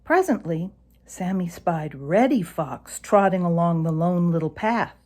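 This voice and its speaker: A woman narrating a children's animal story aloud in an expressive storytelling style. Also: fairly low pitch, a little slow.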